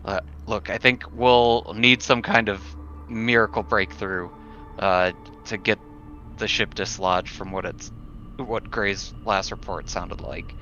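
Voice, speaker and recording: A man playing a tabletop roleplaying game, speaking casually in character in a hesitant, measured way. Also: on the low side, fairly even intonation, pushed and bright. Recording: dull audio, music behind.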